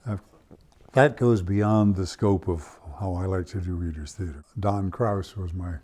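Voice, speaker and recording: Older male theatre director, very low mellow voice, thoughtful interview delivery. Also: a little slow. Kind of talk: reflective documentary interview.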